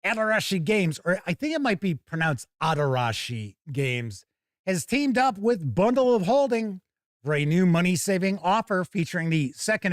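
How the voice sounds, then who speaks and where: Animated, higher-voiced man hosting a show. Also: no room sound, clean studio sound. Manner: animated, wry, conversational. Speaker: host of a gaming news show. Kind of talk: chatty live news show, mixing casual asides with reading news copy.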